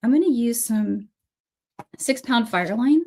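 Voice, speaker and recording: Woman with a cold doing a chatty beading livestream, slightly high voice, greets viewers by name. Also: animated, measured pace, darkish tone. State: has a cold, coughing. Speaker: beading instructor hosting a livestream class. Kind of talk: casual livestream tutorial.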